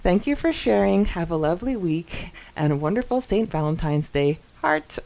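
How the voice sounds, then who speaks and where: A woman co-hosting a podcast, chatting casually and reading out messages in an expressive, giggly way. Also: medium pitch, measured pace, poor audio. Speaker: podcast co-host.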